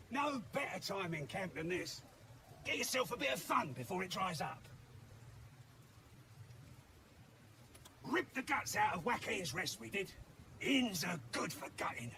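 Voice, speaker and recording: An actor voicing a crude, mocking goblin raider in a video game, with a snarling voice and coarse slang. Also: male voice, sits high, animated, moderate pace, bright, forceful tone, a little hiss or hum, exaggerated voice. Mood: jeering, boastful. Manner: coarse rustic slang with inverted sentences. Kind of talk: video game character acting.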